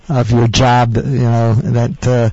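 A man hosting an interview in a jokey, halting way, with many fillers and laughs. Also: mid-range voice, steady tone, warm tone, no room sound, highs cut off. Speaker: interview host. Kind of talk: casual interview chat.

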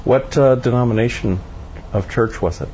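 A man's voice: mid-range voice, moderate pace, a little mellow, highs cut off, a little hiss or hum. A man hosting a radio interview show, asking questions in an easygoing way with some fillers. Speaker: radio host.

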